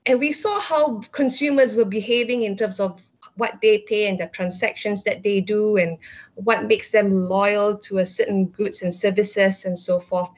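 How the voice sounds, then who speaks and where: Woman panelist on a dull video-call line. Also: mid-range voice. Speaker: panelist, company executive. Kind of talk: explaining on a virtual panel. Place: book-lined room indoors, over video call. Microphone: earphone headset on video call.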